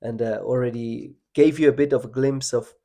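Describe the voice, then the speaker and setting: Man from Switzerland, podcast host, soft mellow tone, thinks aloud with restarts. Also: mid-range voice, steady tone. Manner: reflective, halting, many restarts and fillers. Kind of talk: casual personal conversation.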